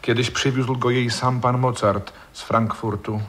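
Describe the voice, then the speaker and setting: An actor playing a calm, kindly gentleman and family friend in a 1960s radio drama. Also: medium pitch, measured pace, close to an everyday voice. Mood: soothing. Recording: noisy vintage radio recording with clicks.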